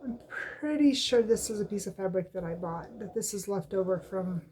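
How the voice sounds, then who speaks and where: A woman's voice: mid-range voice, expressive, moderate pace, mellow, slight background noise. A woman with a stuffy nose from allergies, chatting casually at home in a weekly crafting update, rambling and often correcting herself. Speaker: cross-stitch vlogger. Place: at home, indoors.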